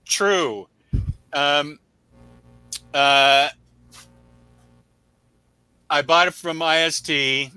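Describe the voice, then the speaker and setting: A man's voice: on the high side, steady tone, drawn-out words, long pauses, pushed and bright, clean tone, dry recording, soft background music, low-quality recording. A man co-hosting a casual, unscripted review show, chatting with viewers in a blunt, opinionated, emphatic way. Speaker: co-host of a comic book review show.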